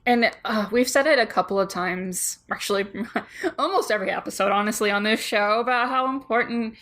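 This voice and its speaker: Higher-voiced woman podcast host. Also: fairly quick, fairly bright tone. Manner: appreciative, with hesitant restarts. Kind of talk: casual interview.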